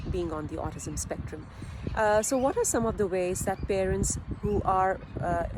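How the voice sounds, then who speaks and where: A woman who speaks English as a second language, giving explanatory advice as a video presenter in a measured, deliberate way with pauses mid-phrase. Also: on the low side, background noise. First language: a language other than English. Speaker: video presenter, parent advocate. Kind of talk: explanatory advice talk to camera.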